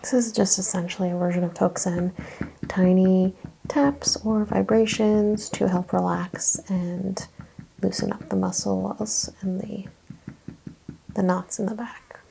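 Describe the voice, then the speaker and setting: A soft-spoken woman, often slipping into whispers, narrates a hands-on treatment as a chiropractor in an ASMR roleplay. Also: medium pitch, little pitch movement, unhurried, vocal fry, slight background noise, poor audio. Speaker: ASMR performer playing a chiropractor.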